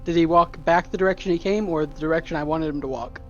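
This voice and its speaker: A man's voice: a little high, fast, fairly bright tone, lo-fi sound, faint music behind. A man talking casually as a player in a tabletop game. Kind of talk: casual chat.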